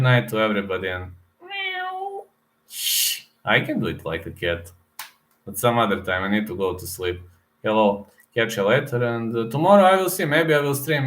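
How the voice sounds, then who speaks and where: A man's voice: fairly low pitch, fairly bright tone. A man from Serbia with a Serbian accent, hosting a casual live stream, answering chat with lots of fillers and repeated words. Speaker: livestream host reading chat.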